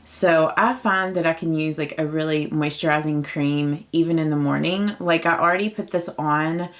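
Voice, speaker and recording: A woman in her late thirties chatting casually through a makeup routine, upbeat and full of fillers, her voice a touch raspy from early morning. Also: low-pitched, steady tone, normal speed. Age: 30s. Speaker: beauty vlogger. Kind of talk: casual get-ready-with-me chat.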